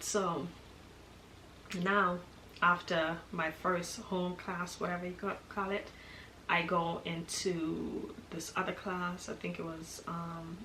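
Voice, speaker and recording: Chatty young woman in an echoey room. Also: lowish voice. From Kenya. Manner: chatty and expressive, laughing often. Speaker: vlogger. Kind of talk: casual storytime chat. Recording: echoey room with background noise.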